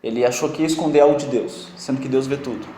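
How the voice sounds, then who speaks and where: A man with a strong non-native accent teaching a Bible study class, quizzing and teasing his students in a lively, joking way. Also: medium pitch, quick pace. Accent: strong non-native accent in Portuguese. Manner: jokey, quizzes and teases students. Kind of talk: interactive classroom teaching with questions to the group. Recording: echoey room.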